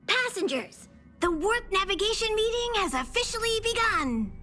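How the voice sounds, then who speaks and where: A woman's voice: extremely high pitch, big pitch swings, normal speed, sharp tone, soft background music, heavily acted. A woman voicing a small, chirpy mascot train conductor in a video game, making formal, proud announcements. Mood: proud, ceremonious.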